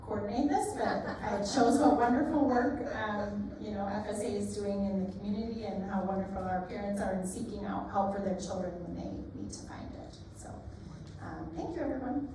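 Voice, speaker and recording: A woman's voice: medium pitch, moderate pace. A woman interprets a mother's story fluently with an appreciative tone. Her voice comes from far off in a very echoey room with noise behind. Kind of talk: interpreting a testimony. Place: library meeting room.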